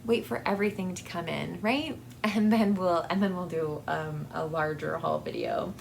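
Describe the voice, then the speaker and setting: A woman chatting casually as a book vlog host, in a halting, hesitant way that often trails off mid-thought. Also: fairly low pitch, fairly even intonation, vocal fry, a little hiss or hum.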